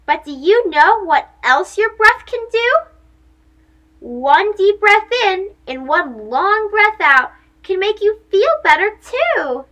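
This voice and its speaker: Woman, young adult, children's storytime host, extremely high voice, unhurried, sing-song. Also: animated, sharp tone. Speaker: host of a children's read-aloud show. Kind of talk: children's picture book read-aloud.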